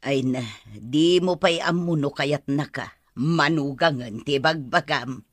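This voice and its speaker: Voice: mid-range voice, measured pace, fairly bright tone, no room sound, studio quality. An actor playing a fretful, scolding older mother with an exasperated, chiding manner in a radio drama.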